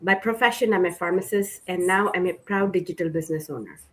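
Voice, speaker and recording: Woman, from India, pharmacist, slightly low pitch, steady. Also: quick pace, poor audio. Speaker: panel guest, pharmacist.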